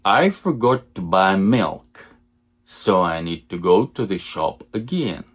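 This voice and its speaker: A man teaching English, reading simple example sentences slowly and repeating each one for learners. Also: sits low, big pitch swings, slightly rough. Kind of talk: slow lesson reading example sentences twice.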